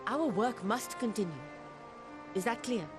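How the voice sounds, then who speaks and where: Woman acting a high-voiced, formal, resolute researcher. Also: music in the background, lightly acted. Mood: solemn, determined. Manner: formal, measured, dutiful. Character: resolute senior female alchemist researcher, formal and selfless. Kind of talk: dramatic fantasy game cutscene acting.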